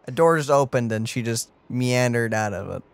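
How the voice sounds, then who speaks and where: A man's voice: mid-range voice, moderate pace, vocal fry, clean tone, no echo. A man chatting casually as he reacts to a video game he is streaming. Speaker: let's play streamer. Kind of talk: casual gameplay reaction chat. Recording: game music behind.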